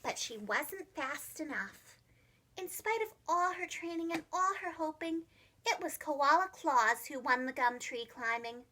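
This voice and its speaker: A woman reading a children's storybook aloud like a preschool teacher, expressive and dramatic, speaking carefully for young listeners. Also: sits high, a little slow, slight background noise, low-quality recording. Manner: animated, dramatic, gentle enunciation for young children.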